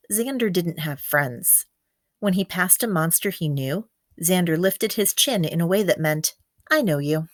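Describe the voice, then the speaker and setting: Low-pitched woman reading a children's storybook. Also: fairly bright tone, no room sound, studio quality. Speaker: storyteller reading a picture book aloud. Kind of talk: expressive children's storybook narration.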